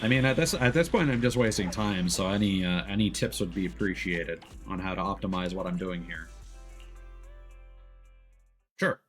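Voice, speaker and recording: Low-voiced man chatting through a game stream. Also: moderate pace, pauses often, a bit bright. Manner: musing aloud, conversational. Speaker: live streamer playing a video game. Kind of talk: casual livestream game commentary. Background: music behind the voice.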